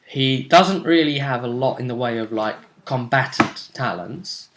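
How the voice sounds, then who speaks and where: A man's voice: medium pitch, measured pace, noisy background. A man, a game master, casually recapping a tabletop role-playing match in a rambling, storytelling way. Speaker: game master and show host.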